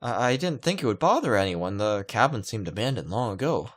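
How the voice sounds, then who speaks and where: A man's voice: lowish voice, big pitch swings, fairly quick. Man playing a nervous, stammering young protagonist. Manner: stammering, apologetic. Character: nervous, apologetic young visual novel protagonist.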